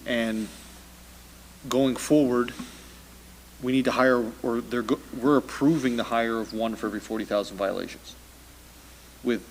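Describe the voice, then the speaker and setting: A man's voice: mid-range voice, in a large hall, slight background noise, rough recording. A man chairing a council meeting, talking in a hesitant, wry way with repeated words. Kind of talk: council debate. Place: council chamber.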